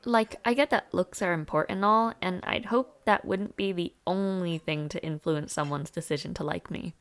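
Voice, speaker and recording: A woman's voice: lowish voice, fairly even intonation, moderate pace, a bit bright. A young woman playing a friendly university student chatting informally with her dormmate in an audio roleplay, gossipy and sometimes hesitant. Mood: casual, shy. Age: young adult. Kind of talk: casual conversational audio roleplay.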